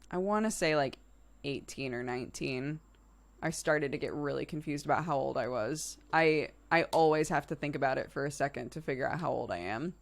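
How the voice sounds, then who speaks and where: A woman's voice: low voice, normal speed, creaky, long pauses. A woman in her early thirties hosting a livestream, chatting casually and informally with viewers in a conversational way. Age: early 30s. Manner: informal, conversational, laughs easily. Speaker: livestream host. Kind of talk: casual chat with live viewers.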